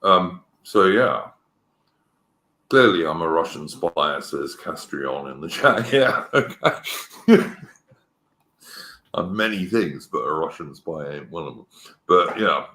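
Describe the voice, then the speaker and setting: A man's voice: deep voice, expressive, slow, long pauses, sharp tone. A man co-hosting a casual political chat, opinionated and rambling, often restarting his sentences. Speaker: podcast co-host. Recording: echoey room.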